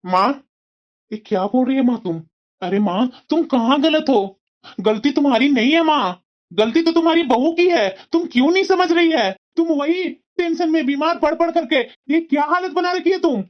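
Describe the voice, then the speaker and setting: A woman's voice: high voice, normal speed, lightly acted. A woman voicing an earnest young husband and dutiful son in a cartoon, talking in a firm, lecturing way. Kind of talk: cartoon voice acting. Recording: dull lo-fi recording.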